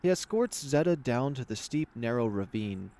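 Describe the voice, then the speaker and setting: Male narrator, mid-pitched, scripted fantasy storytelling, visual novel reading. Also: expressive, moderate pace, dark tone.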